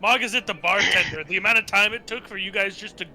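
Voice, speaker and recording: A man's voice: sits high, fast, a loud crowd. A man chatting casually and playfully as a player in a tabletop game. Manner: informal, playful.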